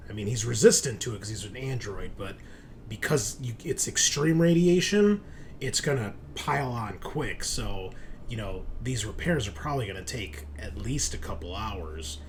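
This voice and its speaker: A man's voice: fairly low pitch, expressive, normal speed. A man casually explaining a tabletop game situation as game master, in an informal way. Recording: home session with loud background noise.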